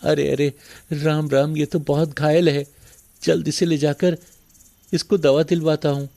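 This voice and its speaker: Man acting a meek, kindly villager with higher-pitched voice. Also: level tone, unhurried, vocal fry, dark tone. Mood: alarmed. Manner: pleading, earnest. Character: poor, meek, kind-hearted younger brother, a farm labourer. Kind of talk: moral story cartoon dialogue.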